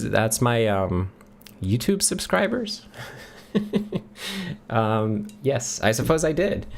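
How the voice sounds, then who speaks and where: A middle-aged man from Sweden with a Swedish accent, hosting a live question session from a home office and reading chat questions aloud. He talks in a relaxed way, thinking aloud with many ums. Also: medium pitch, gravelly, slight background noise. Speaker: livestream host answering chat questions. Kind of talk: casual live Q&A. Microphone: studio mic on a boom near the face.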